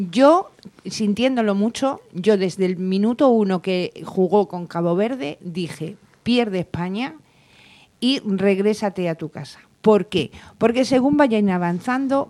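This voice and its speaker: A woman's voice: medium pitch, a little mellow. A woman in casual group chat, talking at length to argue her point.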